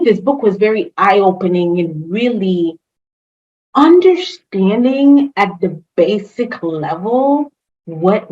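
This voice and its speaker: Woman guest, french is her first language, chatty and excited, kinda dull lo-fi sound. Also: a little high. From Haitian family, grew up speaking French and Creole. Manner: chatty, enthusiastic, many fillers and restarts. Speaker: guest, HR consultant. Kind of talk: casual interview chat.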